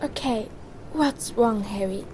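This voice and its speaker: A woman playing a bright, bossy, sensible teenage witch in an audio drama. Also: a little high, slow pace, slight background noise, rough recording. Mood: brisk, concerned.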